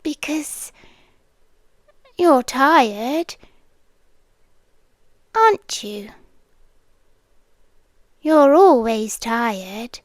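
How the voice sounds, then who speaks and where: A woman playing a possessive, devoted yandere girlfriend with a British accent, speaking softly and deliberately in an intimate ASMR roleplay, often slipping into whispers. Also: on the high side, no room sound, clean studio sound. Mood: coaxing, controlling. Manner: slow, deliberate, lingering pauses. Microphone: close microphone, dry sound.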